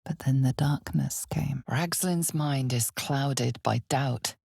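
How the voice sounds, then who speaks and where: A woman playing the knowing, ominous narrator of a dark fantasy video game, speaking in a measured, deliberate way with weighty pauses. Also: a bass voice, gravelly, slightly rough, no room sound, clean studio sound, full character voice. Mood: grave storytelling. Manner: measured, deliberate, weighty pauses, sometimes dropping to near whisper. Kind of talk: dramatic scripted fantasy game narration in second person.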